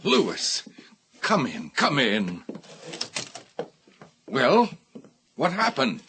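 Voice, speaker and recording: A man's voice: mid-range voice, huge pitch swings, drawn-out words, noisy background, heavily acted. An actor playing a tense, strained judge in a radio drama, hesitant in his speech. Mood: anxious, impatient. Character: tense, handsome male judge awaiting high appointment. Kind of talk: 1980 radio drama acting.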